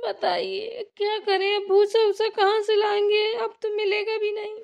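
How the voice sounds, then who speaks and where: A very high, gravelly, crying old village grandmother voiced by a woman pleads in a wailing, slow sing-song. Her voice barely rises or falls. The recording sounds dull and lo-fi. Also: completely flat delivery, darkish tone, exaggerated voice. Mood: lamenting.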